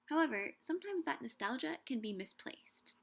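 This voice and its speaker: A young woman giving a scripted, measured talk on Shakespeare and books, slowing down to savor quoted lines. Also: high-pitched, animated, creaky. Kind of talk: scripted educational narration.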